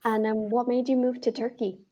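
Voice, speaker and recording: A woman's voice: a little high, little pitch movement, dark tone, muffled recording. A young woman with an Irish accent, chatting as an online English tutor with friendly, encouraging replies. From Ireland. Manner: friendly, encouraging, quick affirmations. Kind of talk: casual video-call conversation lesson.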